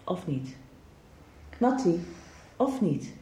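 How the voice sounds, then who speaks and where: A woman acting a calm, frank doctor in a radio soap. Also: lowish voice, drawn-out words, full character voice. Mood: frank, firm. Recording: echoey room, faint noise.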